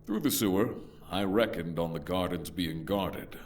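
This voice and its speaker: An actor playing a huge, blunt, fierce barbarian warrior in a fantasy audio drama, speaking tersely and matter-of-factly. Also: male voice, deep voice, a little slow, darkish tone, far from the mic. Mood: grim.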